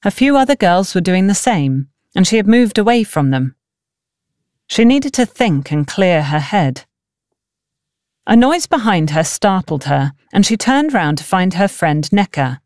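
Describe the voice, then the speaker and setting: A woman's voice: lowish voice, a little mellow, no echo, clean studio sound. A woman reading a novel aloud as scripted audiobook narration.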